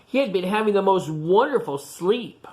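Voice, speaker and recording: A woman reading a picture book aloud to children, chatting to them in animated asides and questions. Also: mid-range voice, moderate pace. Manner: animated asides to young listeners, asking questions. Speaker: storytime reader.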